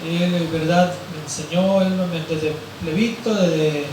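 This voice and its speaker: A hoarse male pastor preaches in a rambling way, his fairly high voice worn thin. He sounds far from the microphone, and his words ring through a very echoey church hall. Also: steady tone, a little slow, noisy background. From Mexico, grew up in Mazatlán. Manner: rambling, fills with "eh" and "¿verdad?". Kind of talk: sermon.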